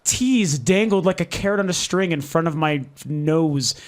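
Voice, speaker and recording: A man with a voice sitting a little above the usual male range speaks hoarsely, his voice worn down. He hosts his own game vlog in a loose, candid way, venting his frustration and swearing without holding back. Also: on the high side, normal speed. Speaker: video game channel host. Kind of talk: casual ranting vlog. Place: lives in Denver.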